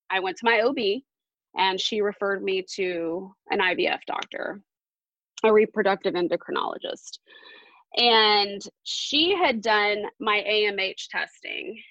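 A woman around forty, a podcast guest telling a personal story in an earnest, halting way with many fillers. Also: mid-range voice, fairly bright tone, no echo, lo-fi sound.

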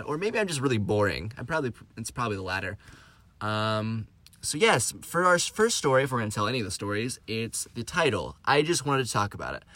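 A man's voice: mid-range voice, bright, forceful tone, dry recording. A young man hosting his own podcast, telling stories in a rambling, self-deprecating way with lots of fillers. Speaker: podcast host. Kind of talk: casual storytelling monologue.

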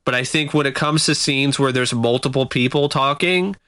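A man in casual film review chat, measured and critical, sometimes pausing to search for words. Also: medium pitch, a bit bright, clean tone, no echo. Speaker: film podcast co-host.

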